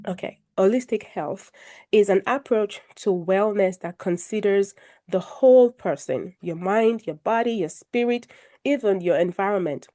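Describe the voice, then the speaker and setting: A woman moderating an online health discussion over a video call, getting over a cold and a little croaky, making formal introductions with light self-deprecating humor. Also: mid-range voice, a little slow, mellow. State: recovering from a cold, a bit croaky. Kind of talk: hosting a video call panel, presenting slides.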